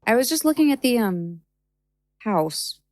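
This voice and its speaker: A woman's voice: a little high, very animated, unhurried, darkish tone, a little hiss or hum. A woman playing a chatty, polite stage magician with casual, slightly hesitant phrasing in a naturalistic audio drama. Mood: curious.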